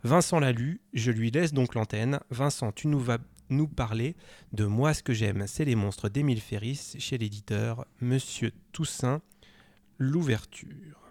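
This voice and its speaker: A man's voice: mid-range voice, measured pace, darkish tone. A man hosting a radio show about books, reading his introduction with small hesitations. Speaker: radio host.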